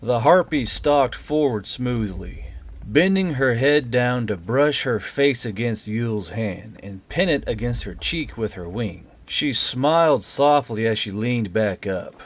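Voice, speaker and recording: A man's voice: medium pitch, expressive, slow pace. A man narrating a story in audiobook style as the host and reader.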